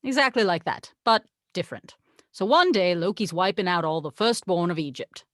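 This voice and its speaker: Casting: haughty angel played by a woman, lower-pitched, very animated, condescending and wry, table-read style. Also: moderate pace, no room sound, clean studio sound. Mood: wry storytelling. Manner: exasperated, condescending, wry storytelling. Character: haughty, sarcastic tequila-loving angel, the voice of God. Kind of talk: table read of a film script.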